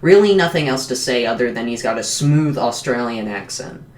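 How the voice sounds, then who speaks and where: A man reading a scripted explainer announcement as the creator of an animated show. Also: mid-range voice, moderate pace. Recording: roomy, echoing voice recording.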